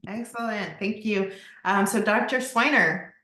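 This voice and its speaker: A woman hosting an online panel discussion as moderator, informal and somewhat halting. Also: medium pitch, pushed and bright. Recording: roomy, echoing sound.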